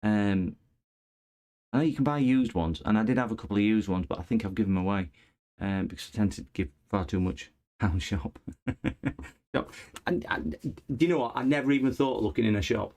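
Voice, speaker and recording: A man's voice: lowish voice, fairly quick, darkish tone. A man, a repair channel host, chatting casually with viewers while he works on a laptop. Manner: chatty, chuckling, replies to viewers while working. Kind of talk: casual live-stream chat while repairing.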